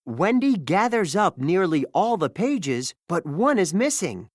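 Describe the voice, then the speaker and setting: A narrator reading a children's storybook aloud in an animated, scripted style. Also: mid-range voice, unhurried, no echo, studio quality.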